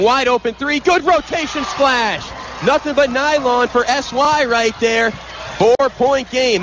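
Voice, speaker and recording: A man with an American accent calling live radio basketball play-by-play from an arena, energetic and detailed, getting excitable on big plays. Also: very high voice, noisy background. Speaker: play-by-play sports broadcaster.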